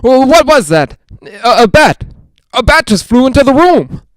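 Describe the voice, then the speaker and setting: Man acting high-voiced, excitable radio reporter. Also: normal speed, no echo, low-quality recording. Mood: alarmed. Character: excitable skeptical male radio reporter with a runaway dog. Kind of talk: 1940s radio drama acting, live on-the-scene commentary.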